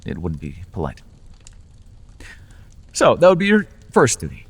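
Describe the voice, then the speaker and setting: A man's voice: fairly low pitch, wildly swooping pitch, a little slow, breathy edge, in a large hall, heavily acted. A man voicing a playful male fae patron in an ASMR fantasy roleplay, talking in a charming, teasing, conversational way with small restarts.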